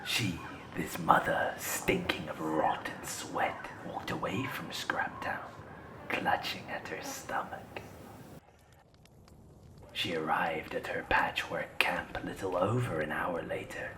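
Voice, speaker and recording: A man playing a solemn, mysterious campfire storyteller in a fantasy audio drama, breathy and theatrical, with archaic phrasing. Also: low-pitched, steady tone, slow pace. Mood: grave. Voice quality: breathy, whispery. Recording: roomy, echoing voice.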